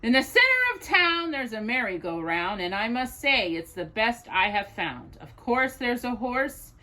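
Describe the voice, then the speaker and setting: A woman reading rhyming children's poems aloud as a storytime host, in an expressive sing-song way, as if to a child. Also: fairly high pitch, measured pace, poor audio.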